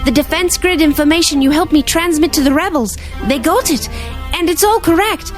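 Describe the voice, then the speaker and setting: Lady playing anxious loyal rebel agent, high earnest voice, audio drama w soft music. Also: a little fast, close to an everyday voice. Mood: urgent. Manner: earnest, urgent. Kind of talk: amateur read-along audio drama acting.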